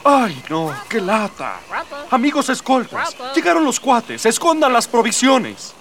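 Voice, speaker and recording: Earnest high-voiced rebel prince. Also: male voice, big pitch swings, unhurried, recorded from a distance, crowd noise, exaggerated voice. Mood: exasperated. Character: idealistic young rebel prince, earnest and exasperated. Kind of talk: radio drama acting.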